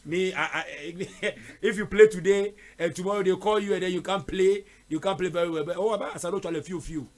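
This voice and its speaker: A man's voice: high-pitched, a little fast, hardly any pauses. Chatty man hosting an interview. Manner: chatty, repeats himself, frequent fillers. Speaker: host. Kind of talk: casual interview chat.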